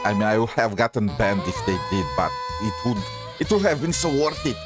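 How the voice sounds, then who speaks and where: Low-voiced man casually streaming a game. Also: animated, brisk. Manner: informal, hesitant, thinking aloud. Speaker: streamer reacting to game content. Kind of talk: casual gaming commentary. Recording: music playing behind the voice.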